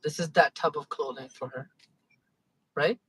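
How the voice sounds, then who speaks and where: A man co-hosting a casual live-stream chat, relaxed and joking, often trailing off mid-sentence. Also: a little high, normal speed, husky, rough recording.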